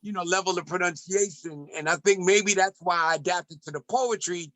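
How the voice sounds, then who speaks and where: A man from the United States, raised in Ethiopia, talking as a poet and musician guest in a reflective interview, measured and rhythmic with restarts. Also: high voice, fairly bright tone, no echo.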